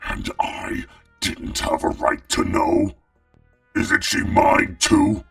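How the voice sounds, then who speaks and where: Gravelly, growling angry male dragon. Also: on the low side, huge pitch swings, takes it slowly, a bit bright, dry recording, far from the mic, faint music behind, exaggerated voice. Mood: angry, accusing. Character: stern, angry male dragon, betrayed mate and father. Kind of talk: audio drama acting.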